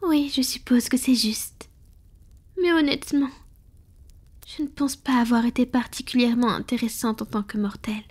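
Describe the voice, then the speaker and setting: A woman plays a proud, ancient female vampire who is tender with a lover. The voice is slightly high and very breathy, mostly whispered. The delivery is slow and intimate, in the manner of a romantic ASMR roleplay. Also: steady tone, lightly acted. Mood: wistful, confiding. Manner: slow, intimate, affectionate murmuring.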